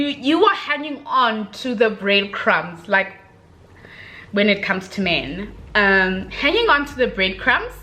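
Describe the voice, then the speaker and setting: Expressive, bright-voiced woman chatting about books. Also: mid-range voice. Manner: expressive, slangy, girlfriend-to-girlfriend address with emphatic check-ins. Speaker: book reviewer vlogger. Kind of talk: casual chatty book review to camera.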